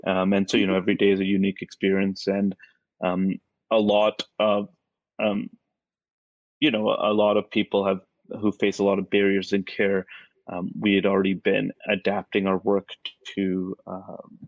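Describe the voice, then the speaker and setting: A man talking casually as a webinar guest, in halting, hesitant phrasing, over a webinar call. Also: low-pitched, completely flat delivery. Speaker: guest, healthcare worker. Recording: echoey, dull webinar call audio.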